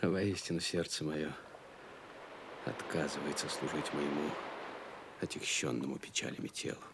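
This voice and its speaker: An actor playing a dying old king in an audio play, weary, halting and tender, with a breathy, weak voice. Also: rumbling low, heavy background noise. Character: dying old king, weary, grieving, gentle with his squire. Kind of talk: Soviet audio play acting.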